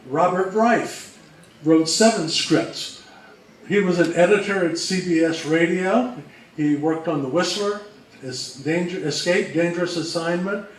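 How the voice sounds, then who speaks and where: Higher-voiced man presenting, distant and roomy. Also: measured pace. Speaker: co-presenter of a history talk. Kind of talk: prepared presentation with informal asides. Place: room presentation before an audience. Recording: roomy, distant mic, faint background noise.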